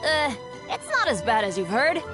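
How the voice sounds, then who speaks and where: A woman plays an unlucky but upbeat teenage boy adventurer from a fantasy video game. The voice is high and boyish, eager and earnest, bouncing up and down a lot. Light music runs underneath. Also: wildly swooping pitch, normal speed. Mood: sheepish. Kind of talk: video game character voice lines. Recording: studio voice over background music.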